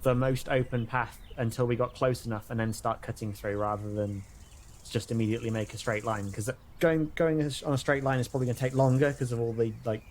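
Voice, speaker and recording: British male player in a tabletop game, middling pitch, casual and hesitant, faint noise behind him. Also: steady tone, fairly quick, darkish tone. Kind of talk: casual improvised role-play chat.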